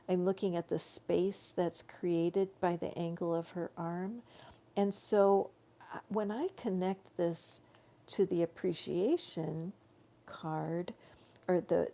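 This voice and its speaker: Slow, gravelly woman reading tarot. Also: on the low side, mellow. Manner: slow, soothing spiritual guidance. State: tiring voice. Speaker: tarot reader.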